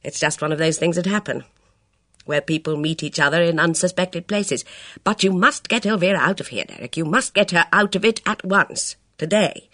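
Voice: medium pitch, dry recording, clean studio sound, voice pushed up. A reader voicing a bold, reckless middle-aged woman who speaks sharply and commandingly. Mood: imperious. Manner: brisk, imperious, sharp.

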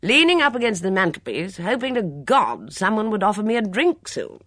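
A woman reader acts out a brusque, commanding countrywoman in an audiobook. She is blunt and loud, with clipped, no-nonsense phrasing. Her pitch moves around boldly in a close, dry recording. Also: sing-song, normal speed, no echo. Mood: brusque, matter-of-fact. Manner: blunt, bellowing, clipped upper-class slang.

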